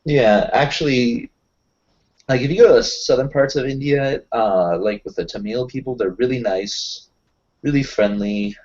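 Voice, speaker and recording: A man's voice: mid-range voice, moderate pace, a little mellow, dull audio. A man chatting casually as a player in a tabletop roleplaying group, explaining things in a discursive way. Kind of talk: casual in-character group chat.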